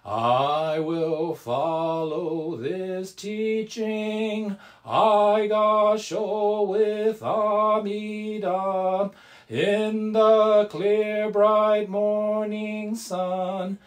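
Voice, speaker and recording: A person leading a Buddhist service, reciting and chanting devotional verses in a measured, solemn, sing-song way. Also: mid-range voice, little pitch movement, soft background music.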